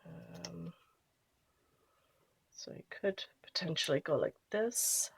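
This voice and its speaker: Relaxed nasal woman with a gravelly voice. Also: on the low side, takes it slowly. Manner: relaxed, chatty, trails off while working. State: nasal from a cold. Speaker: scrapbooking vlogger. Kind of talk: casual craft tutorial chat.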